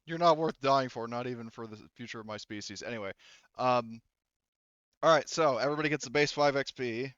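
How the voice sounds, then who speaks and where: Man with clear, smooth voice, slightly muffled. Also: medium pitch, fairly quick, a bit bright, no echo. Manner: informal, sweary, rambling. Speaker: game master. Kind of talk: casual tabletop session debrief with players.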